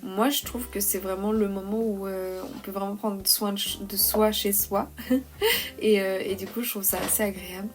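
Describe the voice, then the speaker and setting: A young woman with a slight head cold, talking softly and hesitantly in a calm, cosy vlog voice-over. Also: mid-range voice, normal speed, soft background music. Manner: soft, hesitant, many "euh" fillers. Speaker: vlogger, freelance illustrator.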